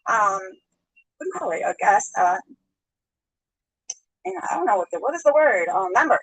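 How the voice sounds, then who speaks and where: A woman's voice: mid-range voice, big pitch swings, moderate pace, fairly bright tone, slightly rough, no echo, rough recording. A woman chatting on a live stream, rambling, greeting viewers and laughing often, in playback with altered speed. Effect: sped-altered playback. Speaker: livestreamer. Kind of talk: casual live-stream chat.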